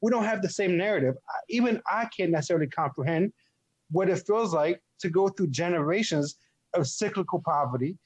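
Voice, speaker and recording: A man from Ethiopia speaks as an interview guest in a husky, somewhat high voice. He explains things thoughtfully, repeating words as he forms formal phrases, and sounds dry with little room echo. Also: lively intonation, fairly quick, dull audio. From born in Ethiopia. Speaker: interview guest, article writer.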